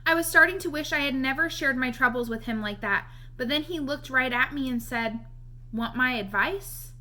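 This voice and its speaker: A woman reads a children's novel aloud. Her voice is high and bright. She narrates expressively, in the manner of a storybook read-aloud. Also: a little fast.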